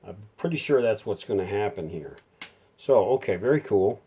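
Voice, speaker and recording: Low, mellow-voiced man thinking aloud. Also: expressive, normal speed, breathy edge. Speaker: hobbyist repairer presenting a how-to video. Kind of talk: unscripted hands-on repair narration.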